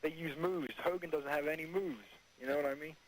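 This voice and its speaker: A man's voice: fairly high pitch, a little fast, noisy background. A man chatting casually as a caller on an old radio phone-in.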